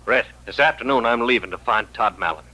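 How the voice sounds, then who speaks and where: A man's voice: medium pitch, a little fast, pushed and bright, lightly acted. An American actor playing an earnest, impatient western man of action in an old-time radio drama. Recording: noisy, humming vintage radio recording.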